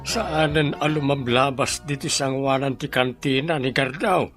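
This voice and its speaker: A man's voice: mid-range voice, steady tone, a little slow, music in the background, natural voice. A man with an Ilocano accent playing an indignant, complaining canteen-owner father in a radio drama. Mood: aggrieved. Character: grumbling father running a canteen, fretting over debts.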